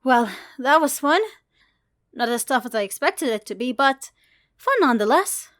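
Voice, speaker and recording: A woman's voice: high-pitched, expressive, normal speed, fairly bright tone, no room sound, voice pushed up. Girl acting out a bold cheeky monster hunter lady, fantasy audio story, punchy and teasing, really dry close sound. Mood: breezy, amused. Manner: cheeky, defiant, emphatic. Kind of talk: acted dialogue in audio fan fiction.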